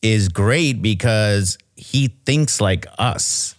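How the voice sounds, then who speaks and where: A male co-host speaks slowly, in a low voice, in casual podcast conversation. He rambles, breaking off and restarting his sentences.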